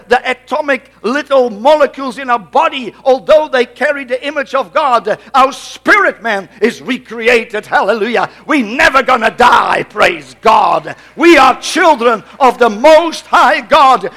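Dutch guy preaching, accent and all, highish sharp voice, lots of exclaiming, uhs and repeated words. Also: expressive, unhurried, few pauses. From Netherlands. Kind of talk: Pentecostal-style sermon with congregational call-outs.

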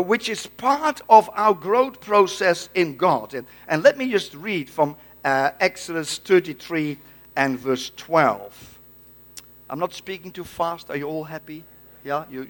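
A man's voice: sits high, a bit bright. A man from the Netherlands with a Dutch accent, preaching a Pentecostal-style sermon in an exclamatory way, often hesitating and repeating words. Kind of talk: Pentecostal-style sermon with congregational call-outs.

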